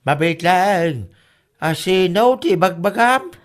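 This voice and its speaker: A woman's voice: on the low side, expressive, slow. Swooping, argumentative Ilocano villager played by a woman. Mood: exasperated. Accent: Ilocano Filipino. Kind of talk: Ilocano radio comedy drama acting.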